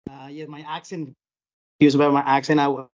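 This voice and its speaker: A man with a strong Puerto Rican accent giving an earnest, halting public comment as a food truck owner at a city meeting. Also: on the high side, level tone, darkish tone, dull audio. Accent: Puerto Rican Spanish. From Puerto Rico. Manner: earnest, halting, many restarts and fillers.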